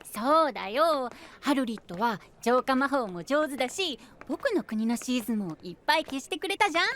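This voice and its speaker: A man voicing a small, childlike boy knight in an anime-style voice drama, sweet, innocent and expressive. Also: extremely high pitch, moderate pace. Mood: innocent, encouraging.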